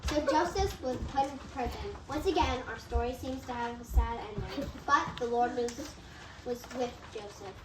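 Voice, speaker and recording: A woman narrates an amateur reader's theatre story in a high voice. The recording is roomy and distant, with noticeable noise. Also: moderate pace.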